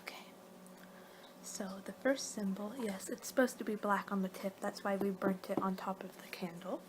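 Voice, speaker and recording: A woman performing a playful ASMR roleplay, rambling along in soft speech and whispers as if losing her train of thought. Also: mid-range voice, fairly even intonation, normal speed. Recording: clear background noise.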